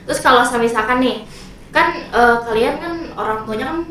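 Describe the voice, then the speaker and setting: A woman's voice: on the high side, moderate pace, a bit bright. Young woman host, echoey room. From Indonesia. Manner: chatty, asks questions, many fillers. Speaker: podcast host. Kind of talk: casual chat in a group. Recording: echoey room, mic far off.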